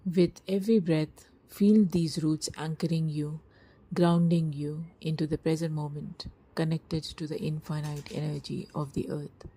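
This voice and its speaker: Low, mellow woman guiding a meditation. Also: steady tone, rough recording. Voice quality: soft, breathy, husky. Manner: slow, soothing, hushed delivery with long pauses. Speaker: meditation guide. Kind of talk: scripted guided meditation narration.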